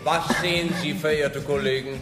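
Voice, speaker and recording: An actor playing a pompous, mocking university rector, declaiming grandly in a satirical radio play. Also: male voice, a little high, steady tone, moderate pace, music in the background, close to an everyday voice. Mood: commanding. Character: pompous, theatrical male university rector, mocking and easily outraged. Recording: reverberant hall.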